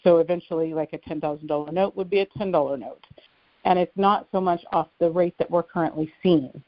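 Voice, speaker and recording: Low-voiced woman calling in, muffled line. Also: fairly quick, no echo. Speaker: caller to a conference call. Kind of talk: casual explanatory call-in talk. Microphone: telephone conference line. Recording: muffled, dry phone conference line.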